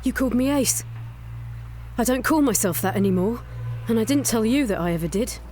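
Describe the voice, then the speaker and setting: A woman's voice: on the high side, lively intonation, a little fast, warm tone, a little hiss or hum, lightly acted. A woman playing a defensive, fiery young woman in an audio drama, blunt and agitated. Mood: wary, affronted.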